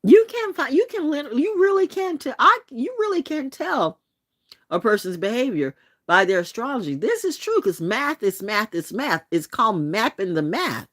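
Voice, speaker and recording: Sixty-something woman hosting a late-night vlog, blunt and profane, lively, expressive delivery, dry room. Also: on the high side, moderate pace, no room sound. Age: 60s. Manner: blunt and profane, with laughs and restarts. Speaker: channel host.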